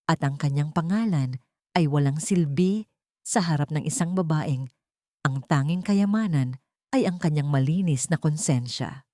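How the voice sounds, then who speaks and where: A woman with a slightly low voice narrates a dramatic love story. Her delivery is scripted audiobook storytelling. The sound is dry, with little room echo. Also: warm tone.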